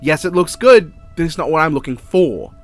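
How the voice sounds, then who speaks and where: An Australian man delivers casual, opinionated review commentary with plenty of swearing. His pitch sits a little high and swings around animatedly. Soft music plays underneath the narration. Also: animated, normal speed. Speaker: video reviewer.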